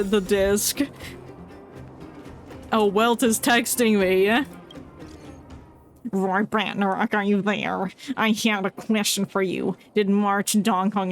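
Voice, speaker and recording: A woman giving casual, rambling livestream commentary on a game and reading its text aloud. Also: mid-range voice. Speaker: game streamer. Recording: game music faintly behind the voice.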